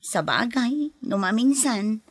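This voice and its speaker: A woman playing a husky, teasing friend. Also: on the high side, animated, slow, no room sound, heavily acted. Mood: chatty. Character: young woman, chatty friend working abroad. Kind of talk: Ilocano radio drama acting.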